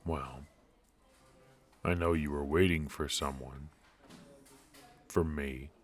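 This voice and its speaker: A man's voice: very deep voice, fairly even intonation, takes it slowly. An actor playing a charismatic, injured former mafia man in an ASMR roleplay, teasing and flirtatious while he admits to being stiff and worn out. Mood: confiding.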